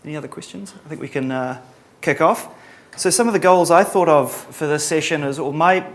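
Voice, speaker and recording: A man's voice: fairly high pitch, a little fast. Man, New Zealand accent, middle-aged, conference presenter, casual, dry humor. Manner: casual, many ums and uhs, dry humor. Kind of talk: relaxed conference talk.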